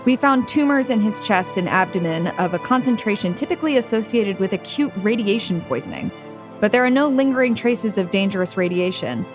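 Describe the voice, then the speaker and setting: A woman playing a grave hospital doctor in an audio drama, speaking in a measured, clinical way. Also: mid-range voice, animated, music in the background, natural voice. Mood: clinical, sober. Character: grave, clinical female hospital doctor delivering bad news. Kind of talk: acted dialogue in an audio screenplay reading.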